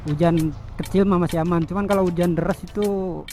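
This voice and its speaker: A high-pitched man talks casually into a helmet intercom headset. He explains things like a motovlogger reviewing gear, with faint music behind him. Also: steady tone, brisk, darkish tone. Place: outdoors, riding a motorbike on the road.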